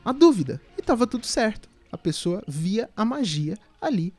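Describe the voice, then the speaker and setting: A man's voice: sits high, sing-song, normal speed, a little mellow, dry recording. A Brazilian man giving casual video essay commentary in a conversational, explanatory way.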